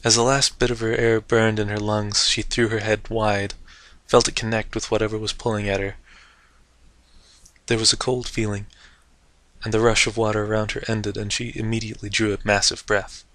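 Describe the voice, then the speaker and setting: A man with a fairly low, forceful voice narrates fiction like a theatrical storyteller. The sound is dry and close, with every dramatic phrase placed clearly in front. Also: little pitch movement, normal speed, no room sound. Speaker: host and narrator of fan fiction readings.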